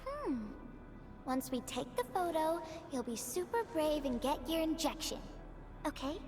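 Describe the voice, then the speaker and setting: Kind young game heroine, extremely high pitch, sweet, coaxing, encouraging, background music. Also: female voice, big pitch swings, a little slow. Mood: coaxing, playful. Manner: soft-hearted, coaxing, sweet. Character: gentle, encouraging young woman who looks after a child. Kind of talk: video game character acting.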